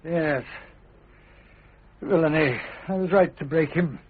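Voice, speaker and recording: An English actor playing a volatile, self-righteous young king in classic radio drama style, imperious and theatrical, swinging between bluster and hush. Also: a little high, takes it slowly, a little hiss or hum. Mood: indignant, brooding. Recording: muffled, narrow-band radio broadcast.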